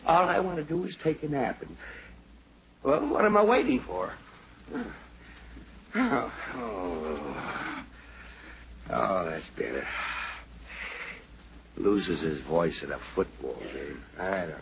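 A man's voice: on the high side, sing-song, moderate pace, fairly bright tone. An actor playing an exasperated, weary middle-aged father, sighing and long-suffering, in an old-time radio sitcom. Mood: weary grumbling. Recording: old noisy radio broadcast.

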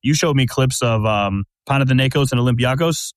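A man's voice: mid-range voice, brisk, no room sound, studio quality. A man hosting a casual sports podcast, talking in a conversational way, full of fillers, and steering the talk with questions. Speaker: podcast host. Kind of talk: casual chat.

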